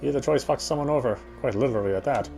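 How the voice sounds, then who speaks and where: A male actor voices a British husband's inner monologue in a visual novel game. He talks quickly, wry and brooding, as if confiding excuses to himself, with music clearly playing behind him. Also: mid-range voice, close to an everyday voice. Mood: brooding, confused. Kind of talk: first-person visual novel narration.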